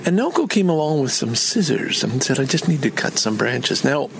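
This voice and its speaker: A man's voice: mid-range voice, sing-song, moderate pace, creaky, background noise. An older man from Canada in a rambling personal vlog, meandering and chatty with many restarts. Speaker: vlogger.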